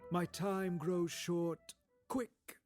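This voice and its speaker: A ghostly spirit, put on by a man in a radio play, pitched high and speaking slowly. It is solemn, probing and relentless, drawing out its words over faint music. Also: fairly even intonation. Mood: solemn, urgent.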